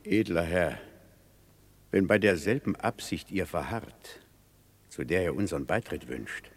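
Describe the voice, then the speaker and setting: An actor playing a grave, loyal soldier-conspirator in a classical radio drama, with a husky, gravelly voice. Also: male voice, deep voice, expressive, unhurried, full character voice. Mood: grave, conspiratorial.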